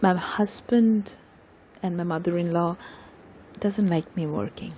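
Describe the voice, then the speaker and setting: A woman with an Indian Bengali accent playing a soft-hearted, dutiful young homemaker in an audio drama, speaking earnestly and plaintively. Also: fairly low pitch, unhurried, a little hiss or hum, lightly acted. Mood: resigned. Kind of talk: amateur audio drama acting.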